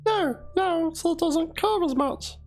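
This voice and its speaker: A woman with an extremely high-pitched voice reads out written chat replies in a dramatic reading. Faint instrumental music runs behind her. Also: lively intonation, slow, darkish tone. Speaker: reader of one side of a chat exchange. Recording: music under the voice. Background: instrumental background music.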